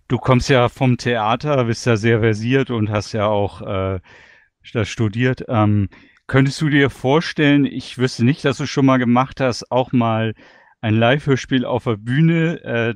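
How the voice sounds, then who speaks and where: Clear, dry-sounding man interviewing. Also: mid-range voice, measured pace, no echo. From Hamburg, Germany. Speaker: podcast interviewer. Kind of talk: casual interview chat.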